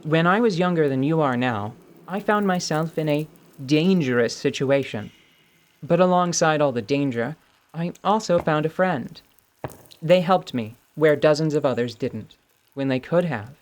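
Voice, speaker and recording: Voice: mid-range voice, measured pace, lightly acted. An actor playing a blunt, sardonic but idealistic adventurer in a fantasy radio drama, with a wry manner. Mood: earnest. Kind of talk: radio-theatre fantasy role-play acting.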